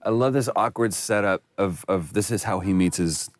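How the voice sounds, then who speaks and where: A man's voice: lowish voice, normal speed, no echo. A man commenting on game cutscenes as an actor, enthusiastic and reflective. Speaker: actor commenting on his role.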